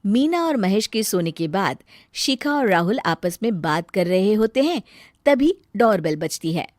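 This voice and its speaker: A woman narrating an animated family story in a lively, expressive way. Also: mid-range voice, measured pace, no echo, studio quality.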